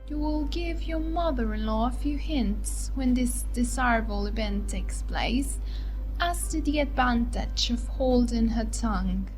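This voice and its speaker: A woman's voice: on the high side, a little slow, darkish tone, faint music behind, voice pushed up, lightly acted, rough recording. A woman voicing a snobbish, jealous young society lady with affected, drawling sarcasm in an audiobook. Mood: sly, mocking. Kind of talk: dramatized audiobook dialogue.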